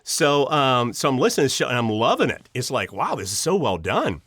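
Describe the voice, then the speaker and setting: Middle aged american guy telling stories on a podcast, really animated up and down voice, acts out dialogue, dry sound. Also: medium pitch, fairly quick, no echo. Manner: acts out remembered dialogue, conversational. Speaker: podcast guest, audio drama writer. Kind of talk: animated personal storytelling in an interview.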